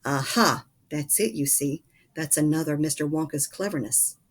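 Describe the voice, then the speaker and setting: A woman voicing an animated, secretive old grandfather in a storybook, telling his tale dramatically. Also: deep voice, moderate pace, breathy edge. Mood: mysterious.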